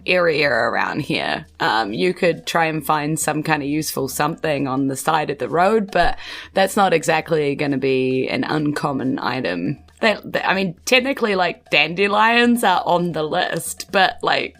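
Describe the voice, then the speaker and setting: Creaky low-voiced woman game master. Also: lively intonation, normal speed, fairly bright tone. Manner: informal, jokey. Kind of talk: casual improvised narration of a tabletop game.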